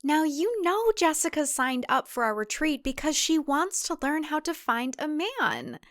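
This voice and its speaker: A woman's voice: sits high, sing-song, a little slow, no echo, studio quality, pitched above the performer's own voice. A woman voicing a sweet, submissive, eerily cheerful young farm wife in audio fiction, with a chirpy, placating, singsong delivery. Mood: placating, firm. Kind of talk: audio fiction character dialogue.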